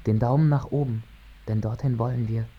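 Actor as incantatory moon narrator, dull recording. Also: male voice, mid-range voice, fairly even intonation, brisk, slightly rough, natural voice. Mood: incantatory, solemn. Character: male narrator musing like an astronaut on the moon. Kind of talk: literary radio-play monologue.

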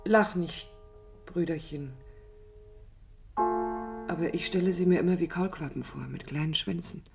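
A woman's voice: lowish voice, a little slow, creaky, faint music behind. An actress playing a lonely, pensive young woman who speaks intimately and wistfully to her absent brother in a radio drama. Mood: tender, reflective. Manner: introspective, wistful, lyrical.

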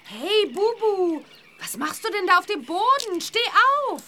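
Cheeky little witch girl, bright and very animated, outspoken, for a children's radio play. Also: extremely high pitch, unhurried, slight background noise, exaggerated voice. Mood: confident. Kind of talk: German children's audio drama acting.

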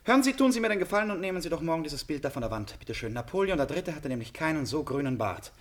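An actor in a German radio drama playing a nervous, eccentric hotel guest of about thirty, abrupt and effusively polite. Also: male voice, a little high, expressive, fast talker, lightly acted. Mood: restless, curious.

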